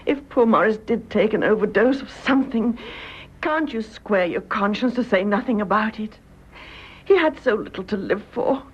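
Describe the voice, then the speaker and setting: An actress with a British accent playing a distressed, genteel young widow in a radio drama, emotional and faltering, pleading and defensive. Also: female voice, fairly high pitch, slightly rough, highs cut off.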